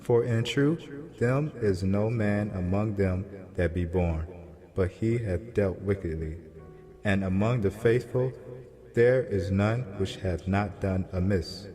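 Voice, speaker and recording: A man's voice: low voice, slow, dark tone. A man playing a humble prophet who pleads for mercy in a dramatized scripture reading, earnest and reverent. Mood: humble, pleading. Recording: long reverb over backing music. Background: music.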